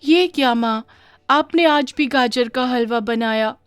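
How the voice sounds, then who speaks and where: A woman's voice: fairly high pitch, measured pace, clean tone, dry recording, faint music behind, close to an everyday voice. A woman voicing an earnest young village daughter who pleads with feeling in a cartoon moral story. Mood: complaining.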